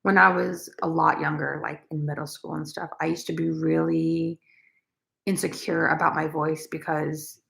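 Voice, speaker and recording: Young female crochet streamer, low raspy gravelly voice, loose chatty delivery full of fillers. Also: flat delivery, moderate pace, fairly bright tone. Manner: rambling, many fillers. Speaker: crochet vlogger. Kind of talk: casual livestream chat, reading comments.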